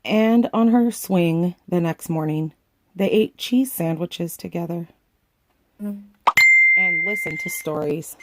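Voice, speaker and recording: A woman reading a children's picture book aloud in the expressive manner of a grandmother reading to kids. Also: fairly low pitch, slow, dry recording. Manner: expressive, acting out the dialogue.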